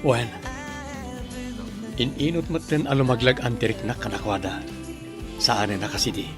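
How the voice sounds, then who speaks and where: A man's voice: medium pitch, expressive, normal speed. A man playing a young husband in a comedy radio drama, speaking earnestly. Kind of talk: Ilocano radio drama acting. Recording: loud music bed behind.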